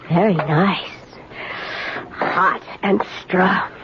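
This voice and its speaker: A woman playing a bossy, menacing young intruder in a brisk, condescending, domineering way, in 1970s radio drama style. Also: lowish voice. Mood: smug, condescending. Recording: dull lo-fi old radio broadcast, hissy.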